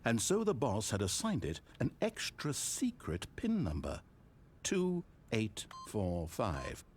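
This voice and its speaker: A man's voice: fairly low pitch, wildly swooping pitch, takes it slowly, darkish tone, breathy edge, exaggerated voice. An actor voicing a wry, all-knowing male narrator in a game, telling the story in a dry, theatrical way. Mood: ominous. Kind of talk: scripted narration.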